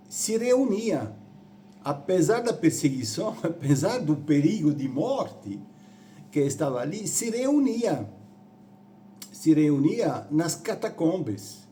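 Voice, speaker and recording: A man from Rome with an Italian accent, preaching in halting, deliberate phrases with repetitions. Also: fairly high pitch, sing-song, warm tone, a little hiss or hum. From Rome, Italy. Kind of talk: sermon on church history.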